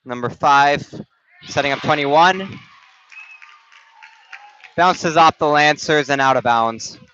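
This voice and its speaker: A young man doing amateur play-by-play for a volleyball game over a headset, his voice slightly raspy, casual and halting with restarts. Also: on the high side, sharp tone, some chatter behind. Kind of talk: amateur sports commentary. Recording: dull, dry gym-stream audio.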